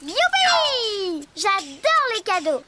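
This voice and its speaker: An actor voicing a tiny, excitable, playful child friend in a preschool cartoon, with an eager, exclaiming delivery. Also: very high voice, drawn-out words, very noisy, full character voice. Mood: excited. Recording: loud children's music behind the voice.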